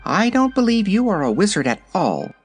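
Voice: mid-range voice, very animated, normal speed, breathy edge, soft background music, voice pushed up. A narrator voicing an eager, hungry female kitten in an audiobook, wheedling and plaintive. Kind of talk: audiobook character voice.

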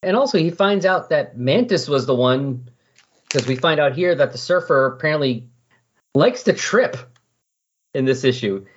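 A man with a slightly raspy voice talks in a loose, conversational way. He rambles casually, like someone co-commentating on a hobby podcast. The recording is a little dull and lo-fi. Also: fairly high pitch, animated, normal speed. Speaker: podcast co-commentator on comic books. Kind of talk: casual chat, recounting comic book plots.

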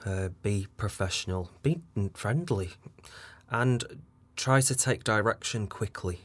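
A man, a casting director being interviewed on a podcast, who talks with many fillers, pauses and small laughs. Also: fairly low pitch, no echo.

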